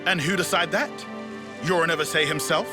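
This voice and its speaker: Man acting fussy high-voiced little game creature. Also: a little fast, natural voice. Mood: reproving. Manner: clipped broken English, dry and lecturing. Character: fussy, sensible small male creature tinkerer. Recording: loud game music underneath.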